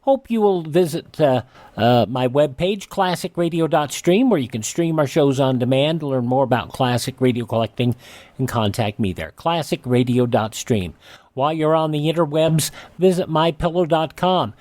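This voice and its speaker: Higher-pitched American male radio host. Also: moderate pace, darkish tone, no room sound. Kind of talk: chatty radio sign-off with plugs.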